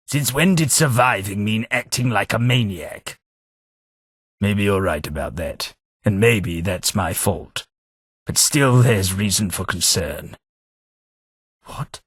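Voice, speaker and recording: An actor playing a formal, polite, long-lived London gentleman in a game, speaking with measured diction. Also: fairly low pitch, slightly rough, dry recording, clean studio sound, exaggerated voice. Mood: hurt. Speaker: game companion. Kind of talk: game voice acting.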